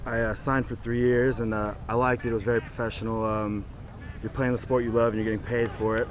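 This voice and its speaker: A man's voice: mid-range voice, level tone, fast, slight background noise. A man in his twenties, an athlete, talking casually in an interview outdoors. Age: 20s. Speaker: rugby player. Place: outdoor sports field.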